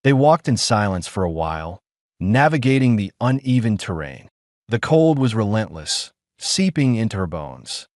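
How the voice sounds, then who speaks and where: Lower-voiced man narrating with lively inflection. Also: moderate pace, dry recording, clean studio sound. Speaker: audiobook narrator. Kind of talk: scripted story narration.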